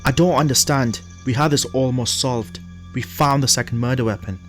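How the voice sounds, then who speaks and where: A man's voice: mid-range voice, moderate pace, fairly bright tone, soft background music, lightly acted. A man playing a polite, earnest younger police detective in an audio drama, with a casual, questioning manner. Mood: startled, defensive.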